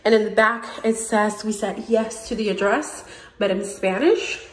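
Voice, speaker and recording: A young woman from Puerto Rico, gushing with excitement as she films a tour of her new empty house on a handheld phone. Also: medium pitch, normal speed, slight background noise, low-quality recording. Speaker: vlogger. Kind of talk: home tour vlog. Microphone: handheld phone camera.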